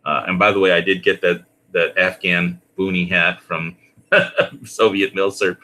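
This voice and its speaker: A man with a very deep yet bright, forceful voice talks off the cuff. His delivery is halting and rambling, circling back over phrases in a relaxed conversational style.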